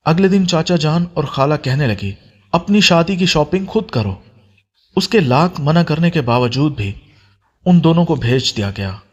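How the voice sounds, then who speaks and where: A man's voice: on the high side, muffled recording. A man reading a scripted story as an audiobook narrator.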